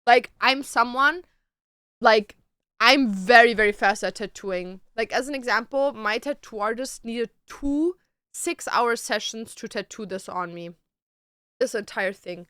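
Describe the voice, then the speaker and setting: Young woman streamer, tattoo artist, higher bright voice, dry close sound, loose and chatty. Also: measured pace, smooth, dry recording. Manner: chatty, loose, peppered with "like" and "dude". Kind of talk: casual chat answering viewer questions.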